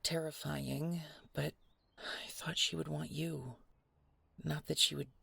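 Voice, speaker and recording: A woman playing an anxious, protective nightmare creature in a horror audio roleplay, with a husky, gravelly voice and a halting, earnest manner. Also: sits low, fairly even intonation, fairly bright tone, dry recording, exaggerated voice. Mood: worried, apologetic. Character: protective, anxious female nightmare companion, devoted to human.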